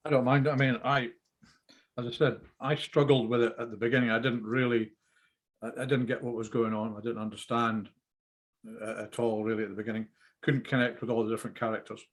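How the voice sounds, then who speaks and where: A man's voice: medium pitch, level tone, fairly quick. A man giving informal script feedback in a hesitant, rambling way, often repeating himself. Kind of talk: informal critique discussion.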